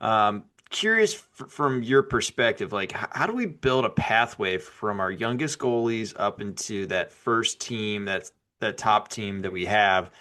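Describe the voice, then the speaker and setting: A man hosts a podcast interview in a loose, upbeat way, often stumbling and restarting his words. His voice sits on the low side. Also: measured pace, hardly any pauses, pushed and bright. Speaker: podcast host.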